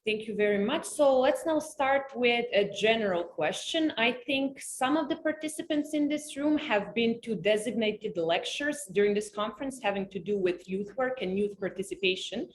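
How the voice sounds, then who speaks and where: A woman's voice: a little high, lively intonation, normal speed, few pauses. A woman moderating a panel discussion, courteous and organized as she introduces speakers and poses questions. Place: conference room. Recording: echoey room, faint ambience.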